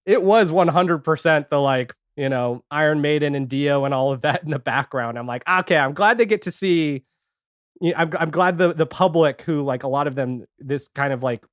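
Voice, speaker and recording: Higher-pitched man, rambling podcast storyteller. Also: normal speed, no echo, clean studio sound. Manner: rambling, self-quoting storytelling. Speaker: podcast guest, audio fiction creator and editor. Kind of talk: casual podcast chat.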